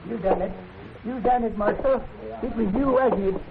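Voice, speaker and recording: A man's voice: extremely high pitch, moderate pace, crowd noise. A man playing a proud, bitter old American plainsman in a radio western, speaking in an aggrieved, defiant, folksy way. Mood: bitter accusation. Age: older. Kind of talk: 1950s radio western acting. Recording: tinny, noisy 1950s radio recording.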